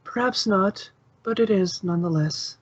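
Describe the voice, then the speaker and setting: A woman's voice: medium pitch, normal speed, breathy edge, no echo, close to an everyday voice. Lady doing a snooty royal pony, formal n brusque, storybook voice, close dull mic. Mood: softened. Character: haughty, blunt regal pony princess of the night.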